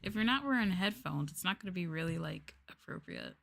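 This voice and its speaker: A soft-spoken woman chatting casually and playfully with viewers as the host of an ASMR livestream. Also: fairly low pitch, animated, a little fast, gravelly. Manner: soft-spoken, playful, drifting into close-mic whispers. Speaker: VTuber streamer and host.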